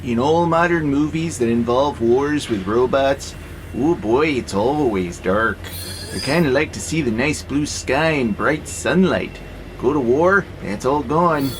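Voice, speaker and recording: A man's voice: medium pitch, unhurried, slight background noise, lightly acted, low-quality recording. A man acting in an audio drama as a wry pacifist warehouse robot, speaking in a dry, skeptical, laid-back way. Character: wry, easygoing pacifist male warehouse robot who loves nature.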